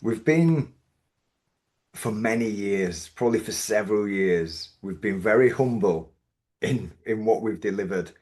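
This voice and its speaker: A man with a Yorkshire accent, a business owner talking as a podcast guest, thoughtful and measured, often restarting his sentences. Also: on the low side, animated, darkish tone. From Yorkshire, England. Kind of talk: reflective podcast interview.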